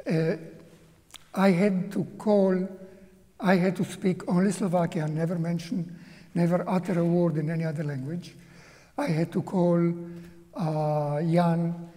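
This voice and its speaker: Slow, mellow-voiced older man with reverb. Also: fairly high pitch. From Czechoslovakia. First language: Hungarian. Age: 70s. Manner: slow, halting and reflective. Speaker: interview guest. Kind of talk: personal testimony. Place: museum auditorium.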